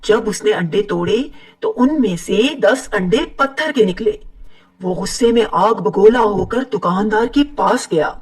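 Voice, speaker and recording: A woman narrating an animated moral story in a steady, moralizing telling. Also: medium pitch, measured pace, distant mic, poor audio. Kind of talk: scripted cartoon narration.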